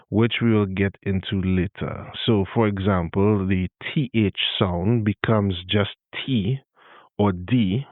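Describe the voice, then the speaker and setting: A man's voice: low-pitched, no echo, narrow, tinny audio. A man from Trinidad and Tobago with a Trinidadian accent, hosting his own podcast in a solo talk with wry, self-deprecating asides. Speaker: podcast host.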